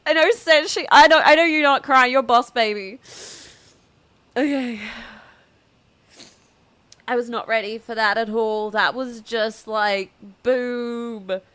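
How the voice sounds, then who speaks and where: A woman's voice: a little high, fairly even intonation, slow pace, fairly bright tone, clean tone. A tearful, emotional woman streamer chatting casually as she reacts to a game. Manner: emotional, giggly, exclamatory. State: tearful from crying. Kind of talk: live playthrough reaction, casual chat.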